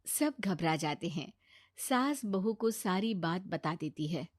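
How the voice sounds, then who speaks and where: A woman's voice: lowish voice, expressive, takes it slowly, no echo, studio quality. A woman narrator reading a scripted cartoon bedtime story.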